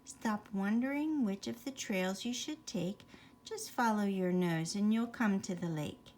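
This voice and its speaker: A woman's voice: medium pitch, measured pace, darkish tone, slight background noise, close to an everyday voice. A woman voicing a helpful, confident male squirrel in a children's storybook.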